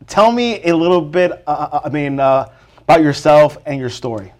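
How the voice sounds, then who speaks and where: A man hosting a podcast, introducing his guest in a halting way with many stops and fillers. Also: a little high, animated. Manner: halting, full of fillers and restarts. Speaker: podcast host. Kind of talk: casual interview.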